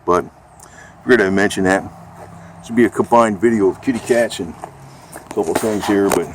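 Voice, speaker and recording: American male vlogger, lowish voice, plain-spoken and salty, off-the-cuff rambling, slightly noisy amateur recording with vehicle ambience. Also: moderate pace. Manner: informal, salty, matter-of-fact. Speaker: amateur video blogger. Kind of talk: casual rambling vlog chat.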